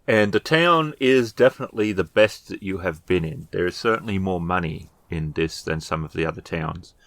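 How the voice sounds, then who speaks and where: A man narrating a tabletop role-playing game as storyteller, improvising descriptions with hesitant pauses. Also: deep voice, fairly bright tone, dry recording.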